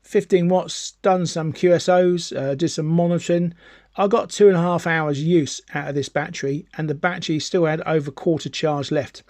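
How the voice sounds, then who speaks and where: A man's voice: fairly high pitch, steady tone, moderate pace, darkish tone, dry recording, clean studio sound. A man casually presenting a hands-on product demonstration, chatty and explanatory, repeating points for emphasis. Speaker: amateur radio hobbyist presenting a gear review.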